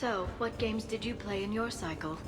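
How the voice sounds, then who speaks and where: A woman voicing a gracious alien scholar who talks in a composed, gently dry way. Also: mid-range voice, normal speed, close to an everyday voice. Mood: curious. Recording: music playing behind the voice.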